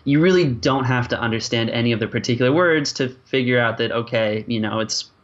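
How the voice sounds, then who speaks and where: A man raised speaking French and English, giving interview answers as a linguist. He talks conversationally with many little asides and restarts, in a medium-pitched voice on slightly dull audio. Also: fairly quick. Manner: hesitant starts, peppered with "you know" and "like". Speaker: linguist and language creator being interviewed.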